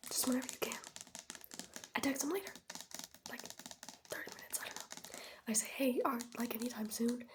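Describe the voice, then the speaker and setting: Whispering teenage girl, chatty and casual. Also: a little high. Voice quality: breathy whisper. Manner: chatty, wry, youthful slang. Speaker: ASMR host showing products. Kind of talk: whispered ASMR, casual rambling storytime.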